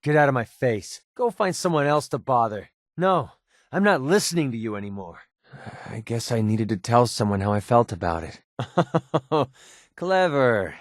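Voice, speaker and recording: Young male mercenary, American accent, mid-pitched, close dry sound, sardonic, guarded, video game companion. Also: very animated, a little slow, no room sound. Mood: angry, hurt, then sheepish. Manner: sardonic, conversational, hesitant asides.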